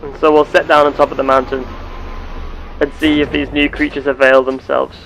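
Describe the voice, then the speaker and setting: A man playing a genteel space captain and explorer, speaking in formal, measured, old-fashioned wording as he narrates a game in character. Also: medium pitch, level tone, a bit bright, a little hiss or hum, natural voice, rough recording. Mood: musing.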